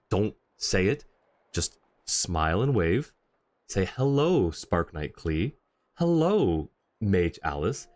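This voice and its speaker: Low-voiced man streaming a game, joking casually. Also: dry recording. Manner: joking, halting, self-interrupting. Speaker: livestreamer. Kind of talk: casual game stream commentary, chatting with viewers.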